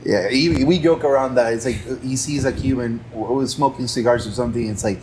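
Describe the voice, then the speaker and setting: A man hosts a podcast in a relaxed way, with plenty of "um" and "you know" as he relays the guest's words. His pitch is ordinary, and faint room noise sits behind him. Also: fairly quick. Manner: relaxed, filler-heavy, translating for the guest. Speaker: podcast host and interpreter. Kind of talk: casual podcast chat.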